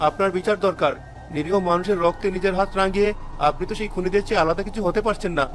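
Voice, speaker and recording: Man playing high, quick righteous villager. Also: fairly even intonation, a bit bright, faint music behind. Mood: earnest, reproachful. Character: righteous, reasoning villager counselling a vengeful ghost. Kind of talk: cartoon story acting.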